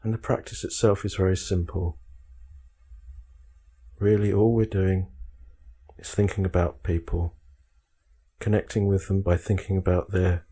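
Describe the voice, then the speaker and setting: A man guiding a meditation, speaking calmly in measured short phrases with frequent pauses. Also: sits low, husky. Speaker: meditation guide. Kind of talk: guided meditation instruction.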